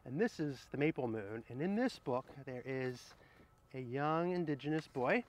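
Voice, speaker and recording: A middle-aged man speaking outdoors, sharing picture books in a gentle, explanatory way and addressing viewers directly. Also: mid-range voice, lively intonation, normal speed. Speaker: horticulturist presenting children's books. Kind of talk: picture-book read-aloud and casual book recommendations. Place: outdoors under trees in an arboretum garden.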